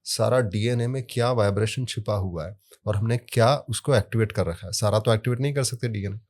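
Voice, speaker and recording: A man explaining sound therapy in a relaxed podcast conversation, telling stories from his work and leaning hard on key words. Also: fairly low pitch, a little fast. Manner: storytelling with anecdotes, emphatic rises on key words.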